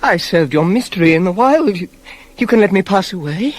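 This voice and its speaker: An actor playing a proud, bitter young prince dying in agony in a classical radio tragedy, declaiming between hushed gasps and cries. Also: male voice, high-pitched, expressive, a little fast. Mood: pleading, outraged. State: weak and in agony. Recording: hissy 1980s cassette of radio play.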